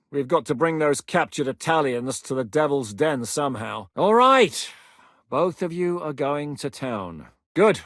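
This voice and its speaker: An actor playing a shrewd, resolute medieval rebel captain who speaks plainly and with authority in a video game. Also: male voice, on the high side, fairly bright tone, no room sound, clean studio sound. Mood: forceful, impatient. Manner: authoritative, decisive, plain-spoken. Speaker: military leader planning operations. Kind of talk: video game dialogue acting.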